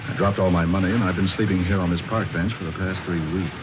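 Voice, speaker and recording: Man acting low-voiced, slangy down-and-out drifter, old radio. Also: steady tone, fast talker, lightly acted. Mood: wry, resigned. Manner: folksy, slangy, breezy. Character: broke, jobless, friendly wisecracking man sleeping on park bench. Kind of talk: 1940s radio drama acting. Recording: muffled, noisy old radio recording.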